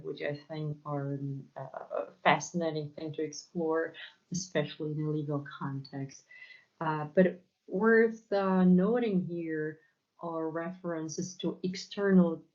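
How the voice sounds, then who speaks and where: A low-pitched woman with a Polish accent lectures unhurriedly, with frequent pauses and repeated words. The sound is muffled and lo-fi. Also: a little mellow. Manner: hesitant, many fillers and repeated words. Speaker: academic lecturer. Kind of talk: academic conference talk.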